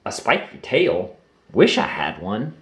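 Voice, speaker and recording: A man's voice: lowish voice, huge pitch swings, slow, echoey room, heavily acted. A man reading aloud and voicing a small, shy, playful young male heffalump in a storybook. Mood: cheerful. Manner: giggly, hesitant.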